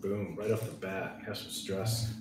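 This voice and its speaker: A man's voice: low-pitched, steady tone, unhurried, distant mic. A man chatting casually as a player at a tabletop roleplaying game. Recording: echoey room with faint noise.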